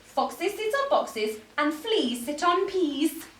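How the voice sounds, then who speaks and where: A woman's voice: sits high, very animated, slow, a bit bright, reverberant, far from the mic, voice pushed up, heavily acted. A woman voicing a bossy, know-it-all cat from a children's picture book, with emphatic, insistent delivery.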